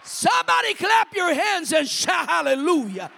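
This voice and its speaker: A man, his Portuguese, preaches a fiery missionary testimony, shouting out hallelujahs. His words ring out with a long reverb through a church sanctuary. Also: very high-pitched, sing-song, normal speed, vocal fry, some chatter behind. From Brazil. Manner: fervent, calls out hallelujahs, shouts at peaks. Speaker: missionary pastor.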